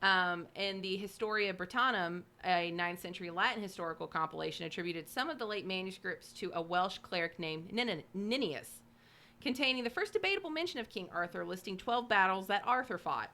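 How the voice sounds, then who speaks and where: Bright-voiced woman reading notes conversationally. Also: mid-range voice, lively intonation, brisk. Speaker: podcast co-host. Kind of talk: reading notes aloud with casual asides.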